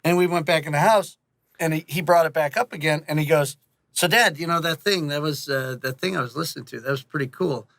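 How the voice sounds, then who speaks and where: Middle-aged man, slightly high pitch, forceful bright tone, casual interview guest, anecdotal. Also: fairly quick, vocal fry, no echo. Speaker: guest, audio series creator. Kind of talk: casual podcast interview chat.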